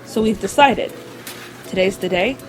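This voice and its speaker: A woman playing a steady, loyal young member of a group of friends in an audio drama. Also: medium pitch, unhurried, music in the background, natural voice. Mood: businesslike.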